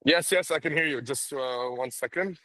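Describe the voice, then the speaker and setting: A man's voice: mid-range voice, normal speed, sharp tone, no echo. A man joining a call from a social event with his camera off, chatty, with lots of stammered restarts. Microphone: phone on a call, camera off.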